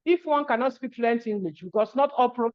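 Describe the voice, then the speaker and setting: Woman presenter, quick lively delivery, closing remarks. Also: a little high, highs cut off. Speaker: conference presenter.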